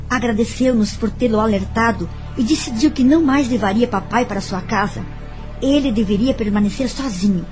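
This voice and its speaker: An actress playing a reflective, forgiving woman telling her story in a radio drama, earnest and measured. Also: medium pitch, mellow, lightly acted, poor audio. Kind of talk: radio drama acting with narration.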